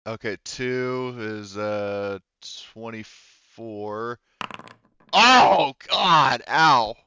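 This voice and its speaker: A man runs a tabletop roleplaying game in a smooth, clean voice. He narrates and rules casually, joking and swearing freely. The sound is close and dry but somewhat muffled. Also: medium pitch, very slow, bright, forceful tone, no echo. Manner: profane and jokey. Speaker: game master.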